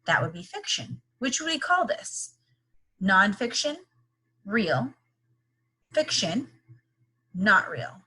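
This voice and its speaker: A woman speaks as a teacher leading a children's read-aloud. Her pitch moves in lively swings over a somewhat husky tone. She explains in simple, encouraging phrases and often puts questions to her young listeners. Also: takes it slowly, pauses often, rough recording.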